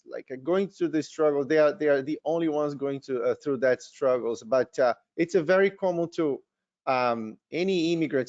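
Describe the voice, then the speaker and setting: A man's voice: on the high side, a little mellow. A man moderating a panel, asking questions hesitantly with many fillers. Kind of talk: panel discussion. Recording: muffled audio.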